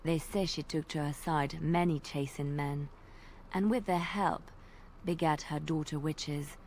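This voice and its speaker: A woman telling an old legend in character during a tabletop roleplay, measured and dramatic, at times dropping to a hush. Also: low voice, fairly even intonation, slight background noise.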